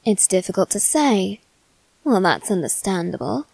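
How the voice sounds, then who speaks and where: Woman voicing a young male demon servant, teasing, haughty, mock-polite, theatrical, mid-pitched, dry close ASMR roleplay. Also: sing-song, normal speed, creaky, a little mellow, husky, dry recording, heavily acted. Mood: curious, measured. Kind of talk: ASMR character roleplay addressed to the listener.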